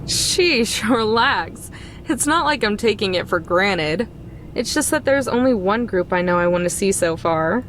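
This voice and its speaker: Laid-back young game heroine: dry, guarded, mid-pitched, music behind. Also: female voice, animated, a little slow, a bit bright. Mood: wry, teasing. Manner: casual, dry, understated. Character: laid-back, guarded, wry young woman driving cross-country. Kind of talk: visual novel game voice acting.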